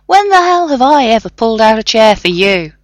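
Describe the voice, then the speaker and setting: A woman voicing an exasperated male soldier, snapping urgently and wryly, her voice pitched well above her own. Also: very animated, unhurried, no room sound.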